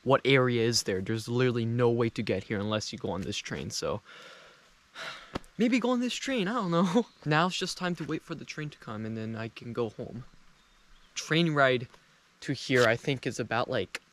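Young male travel vlogger, casual rambling delivery, medium pitch, self-deprecating. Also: expressive, moderate pace, fairly bright tone. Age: young adult. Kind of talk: casual chat to camera. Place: outdoors at a rural mountain train station in rain.

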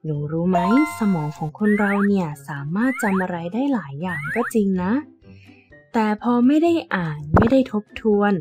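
A woman voicing a gentle, patient mother who explains things to her child in a children's cartoon story. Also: medium pitch, lively intonation, normal speed, over music, lightly acted. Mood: patient explaining.

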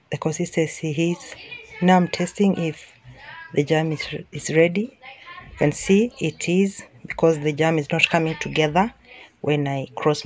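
A woman's voice: low voice, a little mellow, low-quality recording. A woman hosting her home cooking channel, talking casually over the footage with frequent restarts and ums.

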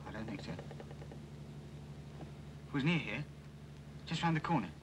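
A man's voice: mid-range voice, big pitch swings, slow pace. An actor playing a shaken, weary young man with an English accent in an old British film drama. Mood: subdued, evasive. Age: young adult. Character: shaken, weary, urgent young accident survivor. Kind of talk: 1950s British film acting. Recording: noisy, distant old film soundtrack.

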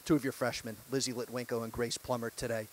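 A young man hosting a post-game show, asking upbeat, brisk questions on a handheld mic. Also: medium pitch, level tone, a little hiss or hum. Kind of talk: sports interview. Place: courtside set in an empty arena.

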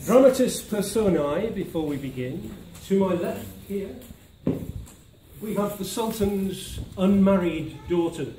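A man's voice: sits high, expressive, a little slow. An older man playing a ceremonious vizier who narrates an amateur stage pantomime with formal, theatrical announcements. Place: village hall stage, indoors. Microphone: distant camera in the audience. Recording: echoey hall, distant, very noisy.

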